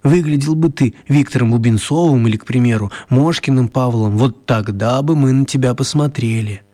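A man narrating a children's radio story in a wry, confiding way, with expressive, deliberate phrasing and dramatic pauses. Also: mid-range voice, close to an everyday voice.

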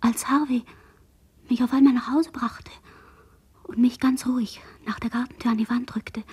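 High, husky girl, trance-like. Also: fairly even intonation, moderate pace, darkish tone. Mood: hushed, intimate. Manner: incantatory, fragmented, listing recitation. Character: traumatized girl, barely conscious witness, dreamily remembering. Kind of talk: literary radio play monologue.

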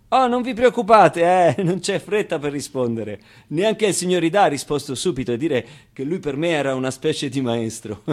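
Man playing a high-voiced, candid orphan boy storyteller. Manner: conversational, hesitant interjections, emphatic repetitions. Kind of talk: theatrical monologue, intimate storytelling.

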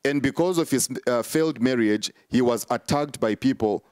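A man's voice: medium pitch, moderate pace, darkish tone, clean tone, dry recording, studio quality. A man, a prophet answering interview questions in an emphatic, repetitive preaching cadence with many fillers.

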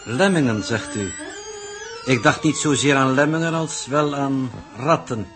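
An actor playing a detached, enigmatic mission coordinator with formal, stilted speech in a 1960s Dutch radio drama. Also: male voice, medium pitch, measured pace, over music, lightly acted. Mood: cryptic, detached. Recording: old noisy 1960s radio recording.

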